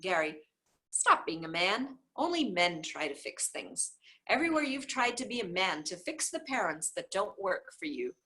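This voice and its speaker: A woman reading a book aloud in an informal style, with emphatic, deliberate phrasing. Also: on the low side, sing-song, sharp tone, slightly rough, low-quality recording.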